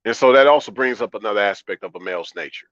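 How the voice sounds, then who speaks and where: A man with a low baritone hosts a livestream in a calm, relaxed way. He talks conversationally to his chat and repeats phrases to drive them home. The sound is slightly muffled and lo-fi. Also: quick pace. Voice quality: deep baritone. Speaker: livestream host. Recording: roomy, slightly dull lo-fi audio.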